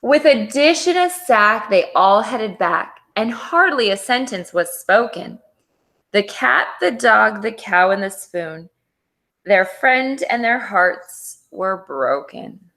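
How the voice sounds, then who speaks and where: Lady teacher doing read aloud for kids, slow and expressive, medium pitch. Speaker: teacher reading aloud to third graders. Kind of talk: expressive children's storybook narration.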